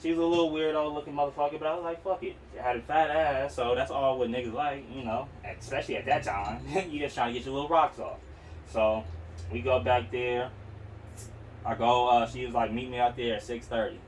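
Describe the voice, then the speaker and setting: A man's voice: medium pitch, fairly even intonation, brisk. A young man with an Oakland accent, telling personal stories on a podcast in a slangy, profane, rambling way. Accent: Oakland, California. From Oakland, California. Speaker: podcast host. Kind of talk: casual, candid personal storytelling. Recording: distant mic, noisy room sound.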